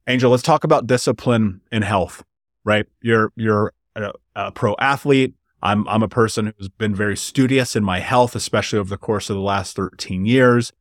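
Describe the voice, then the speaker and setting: A man in his thirties hosts a podcast conversation, his voice set fairly low and recorded close and dry. He talks with motivational earnestness, often turning to address the men listening. The delivery is conversational and sincere. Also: normal speed, no echo. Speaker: podcast host. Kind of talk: conversational interview.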